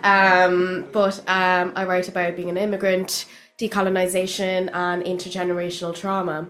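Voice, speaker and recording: A woman's voice: lowish voice, monotone, normal speed, fairly bright tone. A woman with a Cork accent introducing herself at her first open mic, chatty and nervous with lots of fillers. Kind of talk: casual stage introduction.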